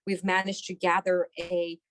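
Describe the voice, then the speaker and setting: A woman's voice: on the low side, little pitch movement, moderate pace. A middle-aged woman giving conversational, explanatory interview answers as a doctor, often repeating phrases for emphasis.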